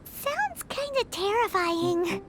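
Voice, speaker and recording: A woman voicing a tiny, naive, childlike fairy companion in a video game, with a squeaky voice and a cutesy manner. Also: sing-song, takes it slowly, full character voice. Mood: worried, curious. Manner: cutesy, speaks of herself in third person. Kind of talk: video game character acting. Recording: studio voice over loud game music. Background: playful game music.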